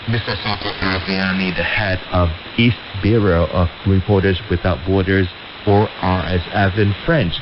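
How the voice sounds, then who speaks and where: A man hosting a scripted current affairs programme on shortwave radio. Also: lowish voice. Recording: dull, hissy, noisy shortwave radio broadcast.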